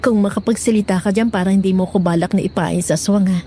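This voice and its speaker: A woman playing a gentle, guilt-ridden pregnant young mother in a horror audio drama, speaking in a halting, apologetic way. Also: mid-range voice, steady tone, a little hiss or hum, close to an everyday voice. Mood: soft, teasing.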